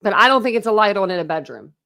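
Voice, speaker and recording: A woman's voice: mid-range voice, expressive, very fast, fairly bright tone, no room sound, studio quality. A woman giving casual reaction commentary on a played video, talking in a blunt, scornful way. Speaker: YouTube commentator reviewing another creator's livestream.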